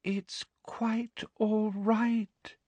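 A man voicing a condescending middle-aged woman school inspector, sickly sweet, speaking slowly and over-enunciating in a patronising way. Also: fairly high pitch, huge pitch swings, fairly bright tone, no echo, voice pushed up, full character voice. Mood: smug, slow.

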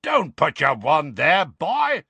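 Storybook casting: grizzled old wizard, played by a man, growling, bossy, irritable, distrustful of everyone, animated delivery. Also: mid-range voice, moderate pace, vocal fry, breathy edge, no room sound, voice pushed up, full character voice. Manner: barking, commanding. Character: gruff, suspicious, irritable grizzled old male wizard veteran.

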